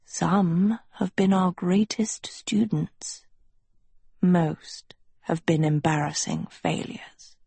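A woman voicing an old, mysterious male schoolmaster in a breathy whisper, slow, smug and silkily condescending in a storybook reading. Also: fairly low pitch, warm tone, no room sound, exaggerated voice. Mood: cool, condescending.

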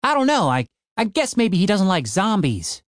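A man doing a jittery, high-voiced young hero. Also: wildly swooping pitch, normal speed, dry recording, studio quality. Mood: tense.

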